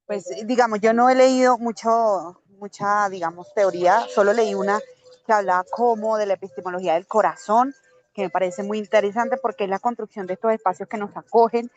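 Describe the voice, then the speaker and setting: A woman's voice: medium pitch, steady tone, fairly quick. A woman speaking in earnest as the session speaker of an online workshop, giving rallying closing remarks over a video call.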